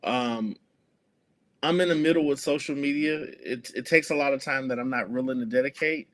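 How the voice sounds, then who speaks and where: A man's voice: mid-range voice, moderate pace, a bit bright. A man, speaking earnestly as an activist guest in an interview, with many fillers and restarts. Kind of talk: conversational interview.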